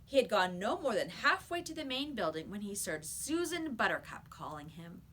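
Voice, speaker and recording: A woman's voice: mid-range voice, sing-song, moderate pace, fairly bright tone, slight background noise. A woman reading a children's story aloud like a teacher, with emphatic, dramatic storytelling.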